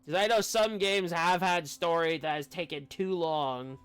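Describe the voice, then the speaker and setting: A man's voice: on the high side, unhurried, fairly bright tone, clean tone. A man giving casual, rambling commentary as a game streamer, often breaking off mid-sentence. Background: faint game music.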